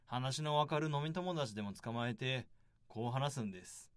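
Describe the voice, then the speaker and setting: A man's voice: mid-range voice, normal speed, no room sound, natural voice. An actor playing a sympathetic, knowing male counsellor, coaxing and instructing in a radio drama. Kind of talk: Japanese radio drama dialogue.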